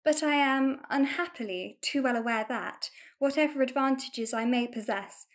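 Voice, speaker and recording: A melancholy young Victorian lady, played by a woman in a radio farce. She speaks in a high voice with demure, stately formality and prim self-regard. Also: moderate pace, close to an everyday voice. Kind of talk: farcical Victorian radio play acting.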